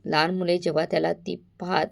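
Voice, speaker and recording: A woman's voice: lowish voice, flat delivery, brisk, creaky. A woman narrating a scripted story in a dramatic, suspenseful way, addressing listeners directly.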